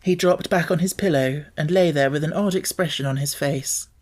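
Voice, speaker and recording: Female narrator, slightly low pitch, scripted storybook reading. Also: moderate pace, darkish tone. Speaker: audiobook narrator.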